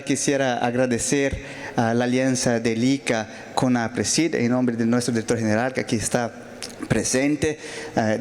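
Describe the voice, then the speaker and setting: A man's voice: medium pitch, darkish tone. Brazilian man speaking spanish on stage. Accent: Brazilian Portuguese. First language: Portuguese. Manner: hesitant, frequent fillers and restarts. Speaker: panel closing speaker. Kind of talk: conference closing remarks. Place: large auditorium.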